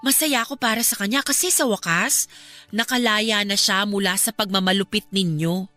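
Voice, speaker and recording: A woman's voice: medium pitch, normal speed, pushed and bright, dry recording, soft background music, natural voice. A Filipina actress playing an outspoken, caring young woman and loyal friend in a radio drama, speaking earnestly. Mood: earnest reproach. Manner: earnest, polite yet accusing.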